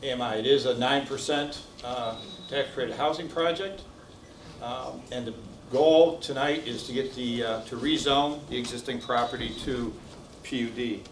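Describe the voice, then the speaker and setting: A man's voice: medium pitch, normal speed, slight background noise. Man walking through presentation slides. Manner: businesslike with fillers. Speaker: architect presenting. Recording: echoey room, distant mic.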